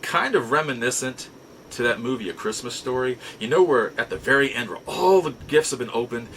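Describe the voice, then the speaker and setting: A man's voice: medium pitch, lively intonation, fairly quick, a bit bright, a little hiss or hum. A middle-aged man casually telling personal stories as a show host, rambling in a folksy way and often rephrasing himself. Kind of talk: casual personal storytelling to camera.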